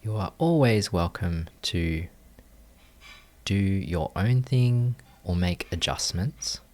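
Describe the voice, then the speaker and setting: Very low, slow-speaking male meditation guide. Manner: calm, gentle, measured, with long pauses. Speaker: meditation teacher.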